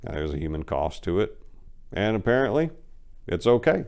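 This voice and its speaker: A man's voice: lowish voice, very animated, moderate pace, a little mellow, smooth. A man reading fiction dramatically and talking to listeners in an emphatic, colloquial, folksy way. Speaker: author reading their own crime fiction, show host. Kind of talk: dramatic story reading and informal commentary to listeners.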